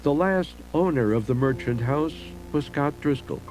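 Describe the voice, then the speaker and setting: A man giving a measured, solemn scripted narration of eerie local history, like a documentary host. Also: a little high, animated, low-quality recording. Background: music under the voice.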